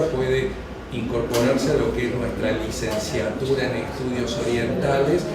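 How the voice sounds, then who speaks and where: A man giving a lecture and answering questions. He explains at length, listing points and getting animated when he makes his case. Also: mid-range voice. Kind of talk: conference talk with Q&A. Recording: echoey hall, far mic, loud noise.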